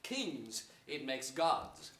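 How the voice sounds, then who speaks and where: An actor playing a noble, hopeful young rebel earl, declaiming earnestly in a Shakespearean stage reading. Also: male voice, medium pitch, very animated, very slow, background noise. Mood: rousing. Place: theater stage before an audience, indoors. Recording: echoey theater, distant microphone.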